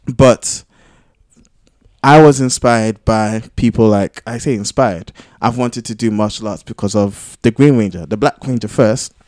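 A man in a casual podcast discussion, arguing his points in a halting way as he thinks them through. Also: fairly low pitch, a little mellow, no room sound, studio quality. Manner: halting, thinking aloud, argumentative. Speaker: podcast panellist. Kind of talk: casual discussion among friends.

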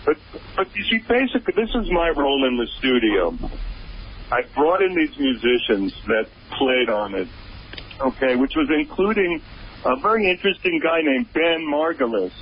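A man's voice: on the high side, big pitch swings, moderate pace, dull audio. Hesitant man producer, faint hiss. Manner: hesitant, stumbling starts. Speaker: music producer guest. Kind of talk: casual radio interview chat.

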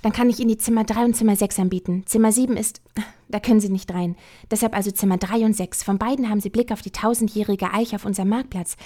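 Casting: actress as officious village hotel receptionist for radio play, dark soft timbre, formal, rambling, over-detailed. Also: female voice, mid-range voice, steady tone, quick pace, natural voice. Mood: formal, dutiful. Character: officious, long-winded, literal-minded female small-town hotel receptionist. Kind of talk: German audio drama acting.

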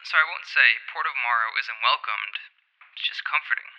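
A man delivers a scripted music column as a voice message. His voice is very bright and crisp, and his phrasing is articulate and essay-like. The recording is a little dull and lo-fi. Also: mid-range voice, moderate pace. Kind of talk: scripted opinion commentary, framed as a voice message.

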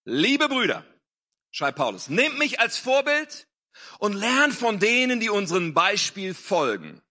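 Bright-voiced man interpreting a sermon. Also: sits high. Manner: quick, earnest, restating phrases. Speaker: interpreter and scripture reader.

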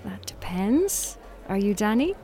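A woman's voice: medium pitch, very animated, measured pace, warm tone, faint music behind. An actress playing a wry, guarded young woman on a first date in an audio drama, with dry, teasing delivery. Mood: playful. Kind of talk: audio drama acting, naturalistic dialogue.

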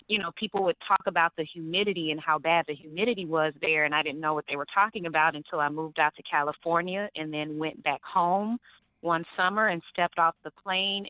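Casting note: young actress, animated storyteller, smooth voice on a tinny phone line. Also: female voice, sits low, moderate pace, no room sound. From Atlanta, Georgia. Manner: lively storytelling, laughs often. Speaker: actress, interview guest. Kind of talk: interview on the phone.

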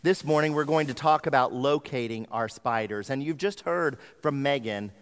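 A male preacher delivers a sermon with earnest, deliberate phrasing. His medium-pitched voice rings through a big hall with long reverb. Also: clear, resonant tone. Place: large hall.

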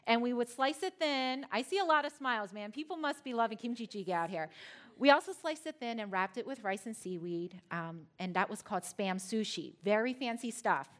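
A woman's voice: a little high, animated, a little fast. A woman from Philadelphia giving a personal talk to a live audience, telling her story with playful asides to the crowd. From Philadelphia, United States.

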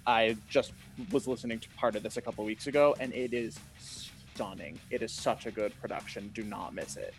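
A man's voice: fairly low pitch, moderate pace, hardly any pauses, soft background music. Enthusiastic male co-host. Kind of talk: casual chat.

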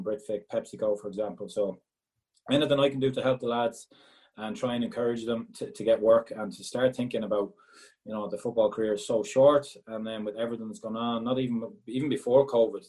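Fast-talking Galway man with a mellow voice. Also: on the low side, rough recording. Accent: Galway Irish. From Ireland, Galway. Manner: chatty, repeats words when restarting. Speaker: former footballer, regional sales manager. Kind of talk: casual interview answers.

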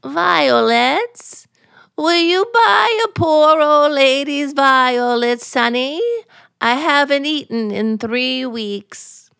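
A woman's voice: sits high, very slow, vocal fry, fairly bright tone, exaggerated voice. Bleating old beggar woman selling violets. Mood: pitiful, pleading. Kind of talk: 1940s radio comedy acting.

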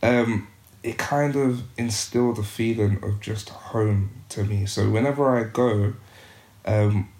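A man's voice: lowish voice, a little slow, darkish tone, roomy sound. A young man from the United Kingdom, a youth worker, talking reflectively as a podcast guest with many restarts and fillers. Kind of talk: casual interview conversation.

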